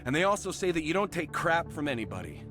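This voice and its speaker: An actor playing a gushing, starstruck fan in a video game, excitable and full of admiration. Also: male voice, fairly high pitch, fast talker, music in the background. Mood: awestruck. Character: gushing, starstruck male fan of a war hero. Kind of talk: video game dialogue acting.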